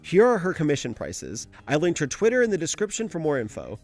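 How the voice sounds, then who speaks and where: A man's voice: on the high side, wildly swooping pitch, a little fast, a little mellow, faint music behind. A man hosting a video essay, delivering scripted analysis in a casual, conversational way.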